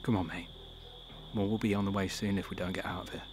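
A man acts out a hard-headed male survivor in an audio drama. His low voice is blunt and commanding, set in clearly noisy night ambience with crickets. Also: flat delivery, fast talker, close to an everyday voice. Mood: stern, insistent.

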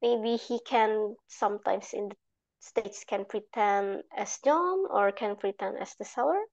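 A young woman with an Indonesian accent giving halting, simply phrased speech feedback as an evaluator on a video call. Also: medium pitch, darkish tone, lo-fi sound. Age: young adult. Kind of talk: spoken speech feedback at a club meeting.

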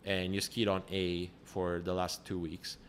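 A man with a very deep voice hosts a relaxed conversation, asking long, searching questions and prompting with quick acknowledgments. A faint hiss of noise sits behind the call recording. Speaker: podcast host, interviewer. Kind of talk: casual interview conversation.

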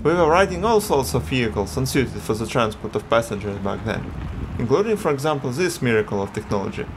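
A man from Russia, narrating a travel film in an earnest, measured voice-over. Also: mid-range voice, very animated, background noise. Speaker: travel vlogger.

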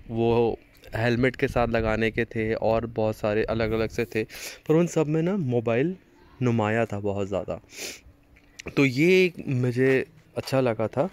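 A man's voice: mid-range voice, clear, resonant tone. A young man from Pakistan, casually explaining his motovlog setup step by step, recorded outdoors on a street by a phone on a chest mount. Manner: chatty, explaining step by step with small restarts. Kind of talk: casual how-to vlog. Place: outdoors on a street beside a parked motorcycle.

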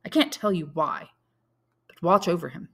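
A woman voicing a calm, resolute older Count from a storybook, speaking in a measured, firm, authoritative manner. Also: lowish voice. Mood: solemn restraint.

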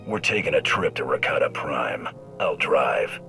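An actor playing a terse, dry, cocky mercenary in a video game. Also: male voice, very deep voice, sing-song, unhurried, gravelly, bright, forceful tone, faint music behind, exaggerated voice. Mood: resolute. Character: gruff, terse mercenary male bounty hunter. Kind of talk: video game character acting.

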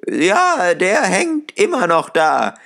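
A man voicing a cheeky young street boy in a very high, brash voice in a storybook reading. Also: huge pitch swings, slow pace, creaky, a bit bright, heavily acted.

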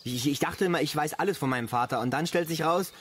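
A man's voice: on the high side, quick pace, fairly bright tone, lightly acted. An actor playing a young West German man, hesitant, defensive and sardonic, in a naturalistic radio drama. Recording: outdoor ambience with birds, clear background noise. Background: birdsong and lakeside nature ambience.

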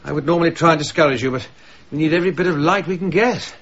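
A man playing a mild older English ex-army gentleman in a radio drama, polite, hesitant and drily understated. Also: a little high, racing, muffled recording, slight background noise. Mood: affable.

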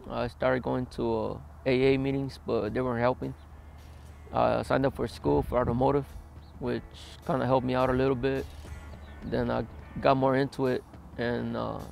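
A man in his twenties, interviewed outdoors in a desert yard, speaking plainly with many hesitant pauses. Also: medium pitch, vocal fry, a little mellow. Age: 20s. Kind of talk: documentary interview, recounting his past.

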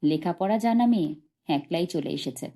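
Expressive woman reading a story in mellow tones. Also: lowish voice, moderate pace. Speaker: audiobook reader. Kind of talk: scripted literary narration, expressive storytelling.

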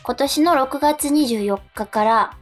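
A woman's voice: high-pitched, slow pace, faint music behind. A woman co-hosting a radio show in casual chat, speaking politely and modestly with hesitant phrasing. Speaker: radio co-host, stage actress.

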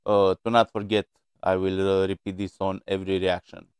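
A middle-aged man hosting a reaction video, talking in a measured way with many filler pauses, from a small room at a desk mic. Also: sits low, level tone, a little mellow, slight background noise. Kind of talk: casual commentary. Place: small plain room indoors.

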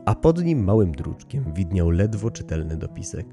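A man's voice: low-pitched, very animated, moderate pace, music in the background. A man hosting a horror story podcast, reading and talking to listeners in a storytelling way. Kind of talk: dramatic story narration with casual commentary.

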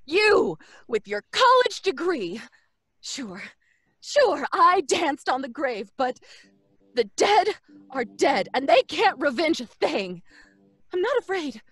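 A woman's voice: sits high, sing-song, slow pace, fairly bright tone, dry recording, clean studio sound, exaggerated voice. A woman playing a defensive, frightened young woman in old-time radio style, agitated and defiant. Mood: defiant, scared.

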